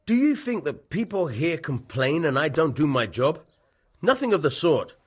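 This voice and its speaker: An actor playing a gruff, dismissive medieval town bailiff in a video game, husky and matter-of-fact. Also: male voice, on the high side, big pitch swings, normal speed. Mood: defensive, dismissive. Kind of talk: video game dialogue acting.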